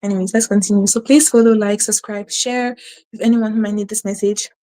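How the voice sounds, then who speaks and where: Woman channel host, mellow tone, quick and earnest, stutters and restarts; faith-based advice to camera. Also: medium pitch, fairly even intonation. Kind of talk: casual spiritual advice to camera.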